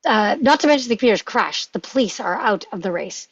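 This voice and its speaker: A woman's voice: medium pitch, lively intonation, a little fast, husky, no room sound. A woman reading screenplay action aloud as narration, putting emphasis on punchy action words. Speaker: table read participant. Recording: dry, dull lo-fi sound.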